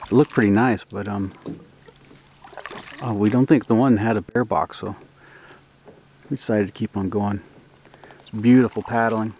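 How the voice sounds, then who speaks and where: A man's voice: fairly low pitch, normal speed, long pauses, breathy edge, low-quality recording. Easygoing man narrating a canoe trip. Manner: relaxed, rambling, many fillers. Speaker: outdoor vlogger. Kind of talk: casual outdoor narration. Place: outdoors on a lake in a canoe.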